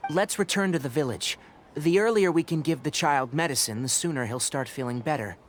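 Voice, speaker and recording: An actor playing a calm, scholarly young forest ranger in a video game, precise and aloof, with measured, articulate delivery. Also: male voice, on the high side, a little hiss or hum, lightly acted. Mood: calm, matter-of-fact. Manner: measured, articulate, matter-of-fact.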